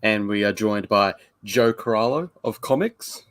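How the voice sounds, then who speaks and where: A man's voice: lowish voice, measured pace, fairly bright tone, no room sound. A man hosting a live stream in casual chat, with a joking, wry way of talking. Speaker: host of a weekly fan live stream.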